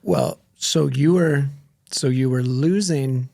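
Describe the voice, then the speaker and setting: Man, podcast host, supportive, probing questions, mid pitch, dry sound. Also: slow pace, gravelly, mellow, no room sound. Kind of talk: conversational podcast interview.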